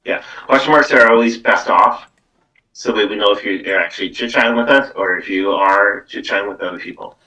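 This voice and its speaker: Deep-voiced man, distant from the mic. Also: a little fast, bright, forceful tone. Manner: rambling, repeats words and tags "though" onto phrases. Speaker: psychic medium host giving live card readings. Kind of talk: casual live-stream talk and tarot reading. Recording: distant from the microphone.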